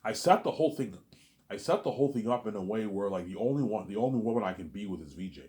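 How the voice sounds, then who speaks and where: A man talking straight to his audience in a rambling monologue, repeating himself, stumbling over restarts, and letting his asides fade quietly. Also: fairly low pitch, lively intonation, fast talker. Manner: repetitive, stammers on restarts, trails off quietly on asides. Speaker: solo vlogger.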